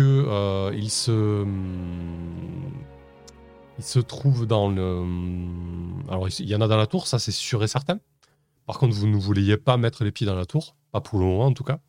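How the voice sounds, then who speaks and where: Male game master, lowish voice, hesitant improvised narration, light music bed. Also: darkish tone. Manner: hesitant, halting delivery with repeated words. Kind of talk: improvised tabletop roleplay narration. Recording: music playing under the voice.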